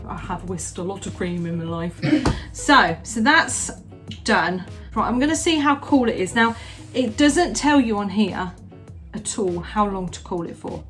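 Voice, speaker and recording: A middle-aged woman talks her way through a bake in a loose, rambling way. She keeps restarting her sentences, and her voice sits at a middle pitch. Music plays clearly behind her. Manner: chatty and rambling, many restarts and "you know"s. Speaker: home baker, the host's mother. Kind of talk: casual chat while baking.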